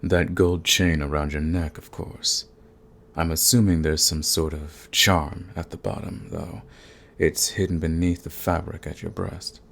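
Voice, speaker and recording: Man playing a deep, gravelly, seductive vampire lord. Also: flat delivery, a bit bright, exaggerated voice. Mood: smug, courtly. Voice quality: gravelly, husky. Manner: courtly, purring, slow and menacing. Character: suave, sadistic, seductive male vampire lord. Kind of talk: ASMR roleplay, scripted monologue to the listener.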